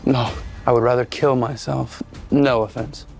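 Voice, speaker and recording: Gravelly, cynical duke. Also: male voice, medium pitch, fairly even intonation, unhurried, a little mellow. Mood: sardonic, aloof. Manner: sardonic, blunt. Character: brooding, cynical, feared young duke, curt and commanding. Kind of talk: audio drama acting. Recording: music under the voice.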